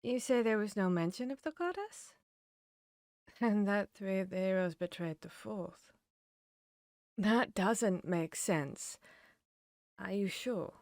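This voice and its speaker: A woman's voice: medium pitch, slow, pauses often, no room sound, clean studio sound. A woman playing a protective, caring vampire hunter in an intimate fantasy audio roleplay, speaking directly to the listener. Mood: puzzled. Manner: intimate, addressing the listener directly, shifting between hushed and urgent.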